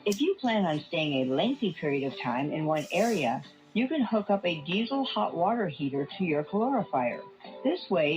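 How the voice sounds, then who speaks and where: A woman playing a gentle, benevolent blue tang fish plumber, earnestly presenting scripted helpful tips over a lo-fi shortwave broadcast. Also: on the low side, natural voice. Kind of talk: scripted TV tip narration. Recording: dull lo-fi shortwave radio broadcast. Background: music.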